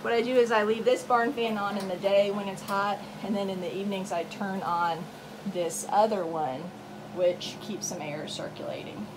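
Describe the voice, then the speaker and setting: A woman's voice: medium pitch, steady tone, normal speed, noisy background. A woman narrating a casual walkthrough video tour as a farm owner, recorded on a handheld phone in a wooden barn. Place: wooden goat barn with open doors. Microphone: handheld phone, behind the camera.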